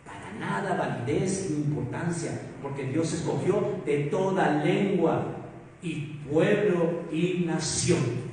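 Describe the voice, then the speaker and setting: A man with a Mexican Spanish accent preaching a sermon as pastor, asking rhetorical questions and swinging between loud emphasis and soft asides. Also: a little high, takes it slowly, a little hiss or hum. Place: church hall. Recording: echoey hall, mic far off.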